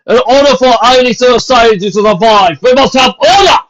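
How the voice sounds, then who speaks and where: Guy doing a booming mean pony captain, really loud and drawn out, muffled lo-fi sound. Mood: commanding. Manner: booming, commanding, slow and theatrical. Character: cruel booming male pony legion captain. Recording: dull lo-fi home recording.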